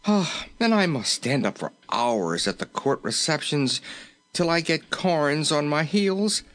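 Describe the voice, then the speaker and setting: A man's voice: high voice, lively intonation, takes it slowly, pitched above the performer's own voice, heavily acted. A man voicing a comical, cowardly, self-pitying but tender-hearted king in a storybook, moaning melodramatically. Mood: pleading, dismayed. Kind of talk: storybook character voice.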